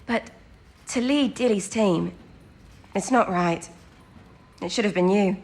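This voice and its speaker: An actress playing an excitable, self-doubting young wartime codebreaker with an eager, girlish manner in an audio drama. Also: mid-range voice, takes it slowly. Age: young adult.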